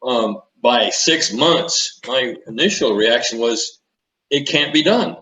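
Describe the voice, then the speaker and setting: A man in his eighties reminiscing in an interview, speaking in a measured, deliberate way. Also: mid-range voice, animated, bright, forceful tone. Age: 80s. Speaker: interview guest, retired naval commanding officer. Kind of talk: conversational reminiscence. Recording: echoey room sound.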